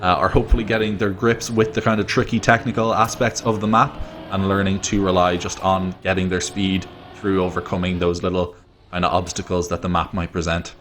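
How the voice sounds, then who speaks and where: Lowish-voiced wry male esports caster. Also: flat delivery, a little fast, fairly bright tone, slight background noise. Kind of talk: casual joking esports commentary.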